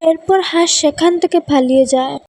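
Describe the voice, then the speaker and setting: A woman's voice: sits high, brisk, music in the background. A woman reading scripted narration for a children's story.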